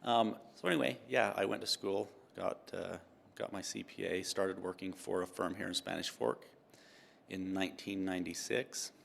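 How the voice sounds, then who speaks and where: A man in his fifties from Utah, a tax partner answering interview questions with dry, self-deprecating humor and many pauses. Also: deep voice, steady tone, creaky, a bit bright, a little hiss or hum.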